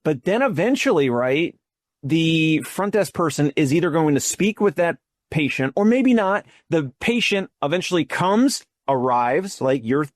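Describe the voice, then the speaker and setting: A man's voice: a little high, big pitch swings, unhurried, dry recording, studio quality. A man hosting a casual interview, thinking aloud and building his points step by step. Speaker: show host.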